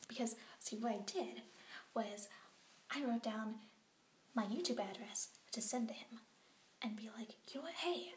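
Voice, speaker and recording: A young woman voices a bubbly young orphan girl confiding to a camera late at night, her words coming out in a hushed, rambling whisper. Her voice sits fairly high and bounces in giddy bursts. The room rings around her, with a faint hiss under it. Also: echoey room, close to an everyday voice. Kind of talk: scripted web series vlog monologue.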